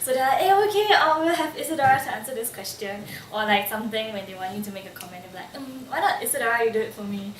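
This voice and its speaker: A woman's voice: a little high, animated, fast talker, few pauses, fairly bright tone. A woman, casually telling personal stories and full of "like" fillers. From Singapore. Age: 20s. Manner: chatty, lots of "like" fillers. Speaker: interviewee. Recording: roomy room, mic at a distance, faint ambience.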